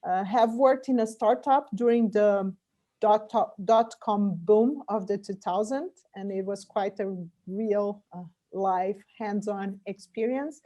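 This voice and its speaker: Mellow middle-aged woman. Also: medium pitch, takes it slowly. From Brazil. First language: Portuguese. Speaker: guest, corporate venture investor. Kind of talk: interview answers about career and business.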